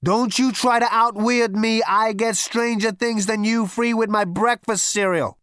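A woman voicing a brash, swaggering man who demands things loudly. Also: fairly high pitch, fairly even intonation, unhurried, dry recording, clean studio sound, pitched above the performer's own voice. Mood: cocky. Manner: loud, pushy, cocky. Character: brash, swaggering hipster male space adventurer.

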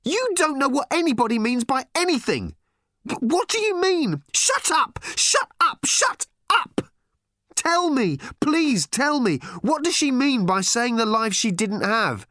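Man voicing a shrill, furious teenage girl. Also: bright, forceful tone, no room sound, clean studio sound, full character voice. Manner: shrieking, repetitive, accusing. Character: furious, anguished teenage girl, shouting at her father.

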